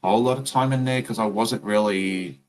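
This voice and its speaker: A man's voice: on the low side, normal speed, a little mellow. A man with an Australian accent hosting a casual chat while he sews. He tells rambling stories with plenty of ums. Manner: chatty, rambling storyteller with many ums. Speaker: host.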